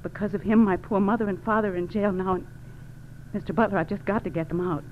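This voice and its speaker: Actress as a pleading gangster's wife, tinny vintage radio. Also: female voice, medium pitch, fairly even intonation, quick pace, slight background noise, close to an everyday voice. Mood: distressed, pleading. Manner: pleading, confiding. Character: pleading, scheming gangster's wife feigning helplessness. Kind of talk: 1940s radio crime drama acting.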